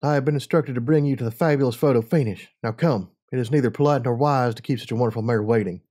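A man's voice: medium pitch, fast talker, warm tone, close to an everyday voice. A man voicing a bored adult mare messenger who speaks stiffly and formally with no effort. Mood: listless. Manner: stiff, listless, formal diction.

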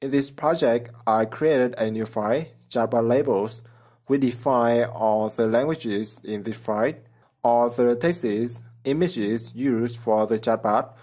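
A man's voice: mid-range voice, slow, a little mellow. Man narrating a coding tutorial plainly. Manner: plain step-by-step explaining, simple non-native phrasing. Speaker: programming tutorial channel host. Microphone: voice-over over screen recording.

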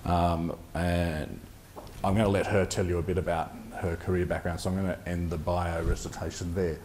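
Middle-aged Australian moderator, very deep and unhurried, dry self-mocking humour, faint room hiss. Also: male voice, poor audio. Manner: self-deprecating, relaxed, measured. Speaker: panel moderator. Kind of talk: introducing a panel guest. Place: conference stage before a large audience, indoors.

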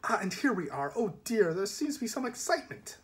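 Man as a bright, high-voiced, politely menacing villain. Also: big pitch swings, a little fast. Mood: bright, cheerful menace. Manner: cheery, falsely solicitous, courteous. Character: brightly polite, menacing male knife-wielding gang leader.

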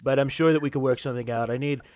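A man's voice: medium pitch, fairly even intonation, very fast, a little mellow, slight background noise, close to an everyday voice. A man doing an impression of a brash, repetitive older male politician on a prank call. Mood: brash, insistent. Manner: repetitive, emphatic salesman-like phrasing. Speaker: prank caller. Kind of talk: improvised prank phone call.

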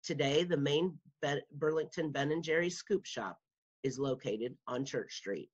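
A woman's voice: deep voice, unhurried, husky. A woman reading a children's nonfiction book aloud in a careful manner, as a school media specialist would. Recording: dull, muffled lo-fi home recording.

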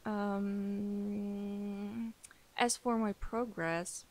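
A woman's voice: medium pitch, little pitch movement, extremely slow, darkish tone. A young woman, a native Armenian speaker, chatting casually in English as a podcast guest and English teacher, with many fillers, restarts and frequent laughs. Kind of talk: casual interview chat.

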